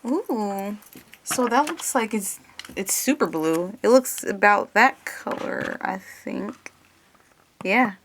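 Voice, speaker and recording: A woman with a creaky voice doing an ASMR roleplay in her normal speaking voice, casual and hesitant as she talks through each step. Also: medium pitch, lively intonation, slow, a little hiss or hum. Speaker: ASMR creator playing an examiner.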